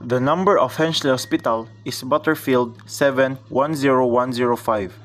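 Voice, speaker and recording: A man's voice: medium pitch, moderate pace, lightly acted. Impassive, clipped official on the line, man acting. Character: formal, impersonal telephone operator and police desk answerer. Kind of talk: radio thriller acting.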